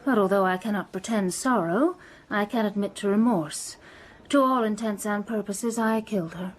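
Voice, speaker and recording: An actress playing a candid, unhappy wife, formal and measured as she confesses in a radio drama. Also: medium pitch, a little hiss or hum, close to an everyday voice, rough recording. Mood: candid, remorseful.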